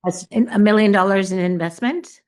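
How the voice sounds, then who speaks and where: A woman's voice: mid-range voice, fairly quick, darkish tone. A woman interviewer asking questions and offering brief encouraging interjections.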